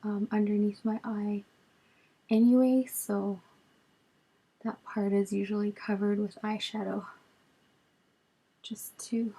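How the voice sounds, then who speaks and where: A woman in her twenties with a raspy voice from a cold, chatting casually through a makeup tutorial, recorded on a webcam in a small bedroom. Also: medium pitch, slow, pauses often, low-quality recording. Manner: relaxed, chatty, lots of ums while applying makeup. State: hoarse from a cold. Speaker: beauty vlogger. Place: small bedroom with storage shelves, indoors. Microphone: webcam at arm's length.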